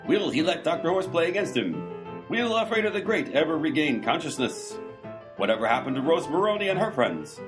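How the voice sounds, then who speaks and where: A man announcing a comedy radio serial, reading scripted mock-cliffhanger narration. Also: mid-range voice. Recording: guitar music behind the voice. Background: plucked guitar music.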